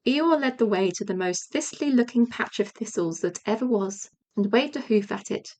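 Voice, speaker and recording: A woman's voice: mid-range voice, moderate pace, darkish tone. A woman narrating a children's audiobook as a scripted storyteller.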